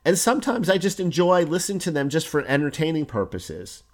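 A man with a hoarse voice hosting a solo podcast, explaining ideas in a conversational way with examples. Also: a little high, sing-song, brisk. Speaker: podcast host. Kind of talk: solo podcast talk on online business.

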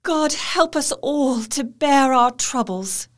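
Casting: tender, anxious young English wife, fairly high voice, earnest diary narration for radio drama. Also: female voice, animated, takes it slowly. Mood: grief-stricken.

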